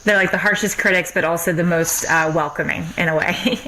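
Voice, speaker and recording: A woman's voice: fairly low pitch, a little fast, bright, forceful tone, slight background noise, poor audio. A woman hosting a podcast interview, speaking casually and informally with affirming, slightly hesitant phrasing.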